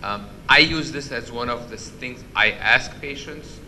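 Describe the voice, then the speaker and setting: A man with a loud voice giving an interactive medical lecture, quizzing the audience and restarting his sentences often. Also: mid-range voice, measured pace, a little hiss or hum. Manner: questions the audience, many restarts. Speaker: physician lecturer. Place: conference hall.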